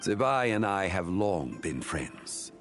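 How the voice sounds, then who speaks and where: A man playing a grave, composed ancient god in a fantasy game, speaking in a measured, solemn and formal way. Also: sits low, big pitch swings, a bit bright, heavily acted. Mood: firm, challenging. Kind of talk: fantasy game cutscene acting. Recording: music behind the voice.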